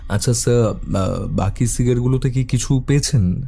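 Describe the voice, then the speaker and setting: A man voicing a calm, courteous private detective in an audio story, measured and quietly probing. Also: medium pitch, creaky, slightly rough, faint music behind. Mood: polite, focused. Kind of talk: audio story character acting.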